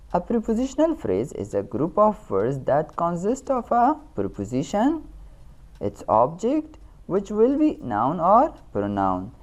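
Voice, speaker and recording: Low-pitched Pakistani woman teaching, very animated intonation. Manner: instructional, deliberate, repeats key terms. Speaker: English grammar teacher. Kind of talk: scripted lesson narration with examples.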